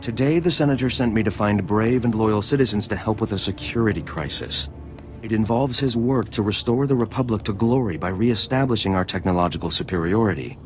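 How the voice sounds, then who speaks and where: A man's voice: fairly low pitch, brisk, faint music behind, lightly acted. A man playing a fawning, eager senator's aide in a video game, speaking in a formal, gushing way. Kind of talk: video game dialogue acting.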